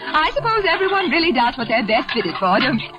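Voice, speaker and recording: A woman's voice: sits high, very animated, fast, lo-fi sound. A woman playing a bossy, gushing, tactless hostess, effusive and condescending, in 1940s radio drama style. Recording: vintage radio broadcast, loud music behind.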